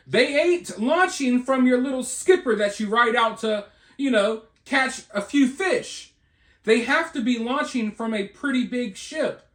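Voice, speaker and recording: An American man hosts informal news commentary in a notably high-pitched voice. He speaks conversationally and rhetorically, checking in with listeners as he speculates. The recording is clearly roomy, with echo around his words. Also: a bit bright. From United States. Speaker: online video commentator and channel host.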